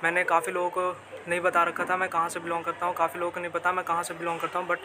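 A man's voice: high voice, monotone, racing. A young man from Bihar, chatting casually as a travel vlogger on a phone held at arm's length, repeating himself and mixing in English words. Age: young adult. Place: outdoors in the city, then inside a moving car. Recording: city traffic noise behind.

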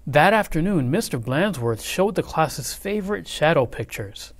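A man reading a children's picture book aloud in an expressive, measured, dramatic way. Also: on the high side. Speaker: read-aloud storyteller.

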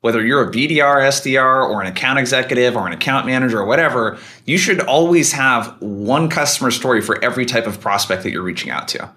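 Man in his 30s, webinar host; bright, forward voice, practical and brisk, earbud mic. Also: mid-range voice. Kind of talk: webinar teaching. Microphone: earbuds on video call.

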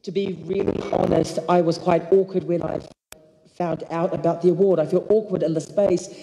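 A woman from Samoa giving a slow, heartfelt acceptance speech at a lectern microphone on a hall stage. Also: on the low side, steady tone, creaky, dark tone. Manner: slow, deliberate, heartfelt with long pauses. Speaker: award recipient. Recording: echoey hall, filmed from the audience.

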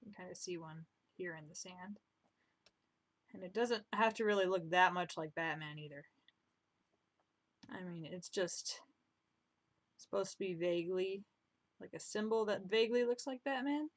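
A woman's voice: lowish voice, normal speed, pauses often. Young woman muttering while drawing. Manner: chatty, self-deprecating, muttering asides. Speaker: drawing vlogger. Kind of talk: casual commentary while drawing. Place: home room, indoors.

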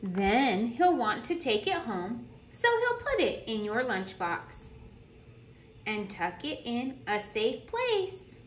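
Female read-aloud teacher for picture books, very animated intonation, addresses young listeners, noisy room recording. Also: a little high. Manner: deliberate storytelling with questions to young listeners. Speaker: teacher reading aloud to children. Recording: roomy echo with clear background noise.

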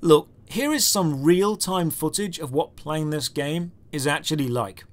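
A man's voice: a little high, a little slow. A man narrating a scripted comedic video game review in a sarcastic, exasperated, emphatic way.

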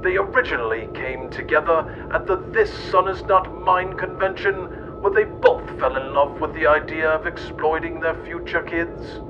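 A man's voice: moderate pace, hardly any pauses. A man reading a scripted mock-history tale in a theatrical, mock-serious manner. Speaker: narrator and host. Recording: dull, noisy, rumbly old-radio sound.

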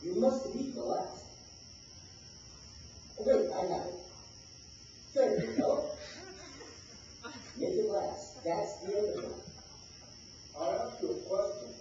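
Absurdist stage role: man as forgetful, hungry tramp, high male voice, heavily echoing room. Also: unhurried, pauses often. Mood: absent-minded. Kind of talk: absurdist stage play dialogue. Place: stage, recorded from a distance. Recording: dull, echoey, noisy, distant stage capture.

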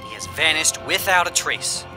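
Man acting a higher-pitched formal news reporter. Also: expressive, faint music behind. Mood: grave, formal. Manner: formal, measured announcing. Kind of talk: news report in horror manga dub. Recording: loud background sound bed.

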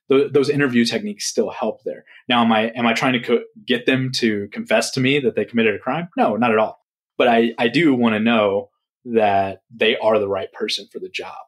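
A man answering interview questions in a relaxed, conversational way, often checking in with "right?". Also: on the low side. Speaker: podcast guest.

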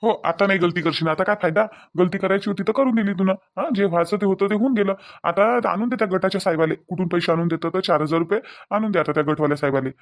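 Man acting a high-voiced, fast, scolding village husband. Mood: angry scolding. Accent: rural Vidarbha Marathi. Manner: scolding, repetitive rhetorical questions. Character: hot-tempered, worried village husband short of money. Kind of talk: amateur Marathi village family drama.

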